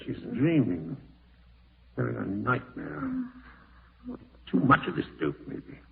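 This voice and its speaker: A man's voice: on the low side, sing-song, slow pace, gravelly, full character voice. An actor playing a callous, scheming man in a 1940s radio horror drama, muttering to himself and snappish, with melodramatic delivery. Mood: sly, muttering. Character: scheming, callous male relative plotting murder, panicking and irritable. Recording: scratchy, tinny old-time radio broadcast.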